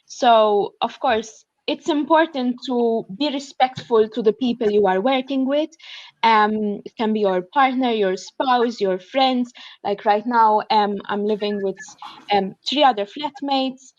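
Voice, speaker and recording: A woman's voice: a little high, unhurried, a little mellow, dry recording, lo-fi sound. A young woman from Malta with a Maltese accent, speaking at an online student panel in an earnest way, with many fillers as she thinks aloud. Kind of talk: online panel talk, reading out notes. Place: shared flat, at home. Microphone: computer mic on a video call.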